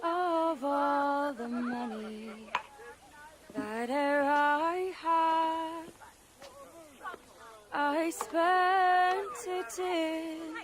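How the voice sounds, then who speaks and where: An actress singing a slow, wistful old parting ballad as a figure in a video game cutscene. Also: female voice, extremely high pitch, crowd noise, exaggerated voice.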